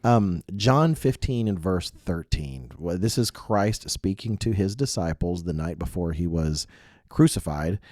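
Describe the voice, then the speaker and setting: A man's voice: sits low, expressive, measured pace, darkish tone, dry recording, clean studio sound. A man co-hosting a casual religious youth podcast in a chatty, self-deprecating way, full of asides, getting over a mild cold. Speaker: podcast co-host.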